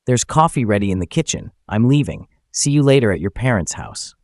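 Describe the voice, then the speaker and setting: Betrayed husband played by a male narrator: smug, sarcastic, controlled, lowish lively voice for an audio story. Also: brisk, no echo, clean studio sound. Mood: false cheer. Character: vengeful betrayed husband, falsely polite, coolly menacing.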